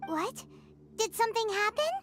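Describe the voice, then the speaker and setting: A woman voicing a tiny, excitable floating fairy companion in a video game, with a squeaky, childlike, perky delivery. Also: normal speed, soft background music. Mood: surprised, concerned. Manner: perky, exclamatory.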